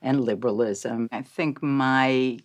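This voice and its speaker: A woman's voice: rumbling low, steady tone, slow pace. A woman in her fifties giving frank, opinionated views in a candid conversation. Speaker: interviewee.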